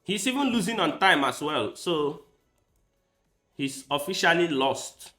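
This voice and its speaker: Male chess streamer, Igbo first language, bright lively delivery, unhurried, echoey room. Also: on the high side, faint music behind. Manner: thinks aloud while playing, with quick bursts of excitement. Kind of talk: casual live-stream commentary.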